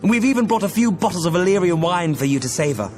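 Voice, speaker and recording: Brisk, courteous high elf envoy. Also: male voice, very animated. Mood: courteous, assured. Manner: formal, courteous. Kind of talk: fantasy video game cutscene acting. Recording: loud music behind the voice.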